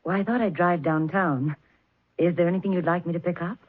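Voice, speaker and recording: Solicitous, polite wife, husky tone, vintage radio drama with narrow muffled sound. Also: female voice, on the low side, fairly quick. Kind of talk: old-time radio drama acting.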